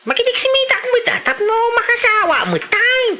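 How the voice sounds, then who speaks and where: A woman's voice: very high-pitched, big pitch swings, fairly quick, background noise. A woman acting a reluctant, grumbling young villager in an Ilocano comedy drama, with an Ilocano accent. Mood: pleading.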